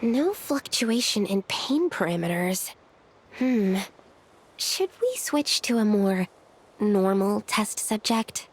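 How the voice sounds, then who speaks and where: An actress playing a composed, methodical female researcher, measured and clinical, in an anime-style game. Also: mid-range voice, expressive, breathy edge, exaggerated voice.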